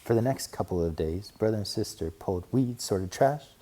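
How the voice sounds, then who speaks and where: A man narrating a children's storybook aloud in an expressive read-aloud style. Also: lowish voice, normal speed, slight background noise. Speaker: storybook reader.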